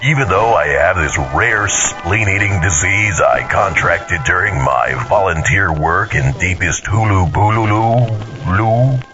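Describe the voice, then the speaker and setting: A man's voice: low voice, lively intonation, unhurried, hardly any pauses. A man playing an earnest, overwrought dying sweetheart in a parody romantic film. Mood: lovesick. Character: lovesick, dying melodrama husband, noble then whimpering. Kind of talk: parody romantic movie melodrama. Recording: muffled movie soundtrack with music behind. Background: soft music.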